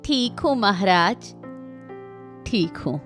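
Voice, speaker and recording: A woman's voice: medium pitch, lively intonation, slow, fairly bright tone, soft background music. A woman voicing an anxious, stubborn, complaining housewife and mother in a Hindi cartoon story. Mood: reluctant, protesting.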